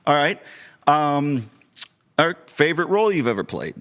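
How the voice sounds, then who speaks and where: A man's voice: a little high, big pitch swings, moderate pace, a bit bright. A man co-hosting a podcast in casual chat, asking questions in an informal, easygoing manner. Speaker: podcast host.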